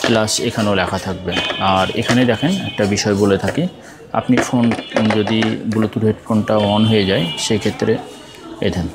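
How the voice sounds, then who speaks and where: A man's voice: fairly low pitch, fairly even intonation, fairly quick, over loud music. A man with a Bangladeshi accent giving an unscripted product demonstration as a shop seller, repeating himself and often checking in with the listener.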